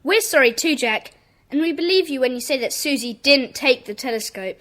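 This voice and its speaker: High-voiced, bossy young schoolboy leader. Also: female voice, lively intonation, normal speed, a bit bright. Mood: decisive. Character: brisk schoolboy leader of a child detective club. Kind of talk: children's audio drama acting.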